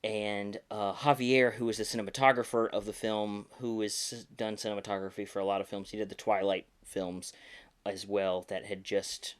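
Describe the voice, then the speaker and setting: A man's voice: lowish voice, level tone, normal speed, clean tone. A man in his thirties hosting a podcast, telling behind-the-scenes stories casually in a hesitant, self-deprecating way. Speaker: podcast host and puppeteer.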